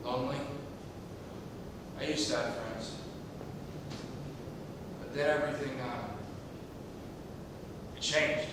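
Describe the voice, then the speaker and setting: A man's voice: medium pitch, fairly even intonation, takes it slowly, pauses often, close to an everyday voice. Stage comedy, far from the mic in a ringing hall: man plays an irritable, frazzled, lonely commuter. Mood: lonely, subdued. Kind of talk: live stage comedy acting. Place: theatre stage, recorded from far off. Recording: distant, echoey hall, clearly noisy.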